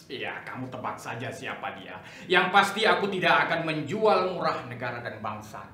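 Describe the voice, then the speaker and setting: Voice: medium pitch, sing-song, normal speed, pushed and bright. A performer playing a bombastic, authoritarian general in a theatrical dramatic reading, emphatic and commanding. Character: bombastic, authoritarian general scheming amid political chaos. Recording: echoey room, distant microphone.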